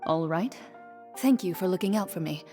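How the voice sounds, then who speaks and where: A woman's voice: fairly low pitch, expressive, normal speed, mellow, faint music behind, close to an everyday voice. A woman playing a composed, formal, polite duelist in an anime game. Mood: reserved. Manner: reserved, polite. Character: composed, formal, reserved adult female duelist.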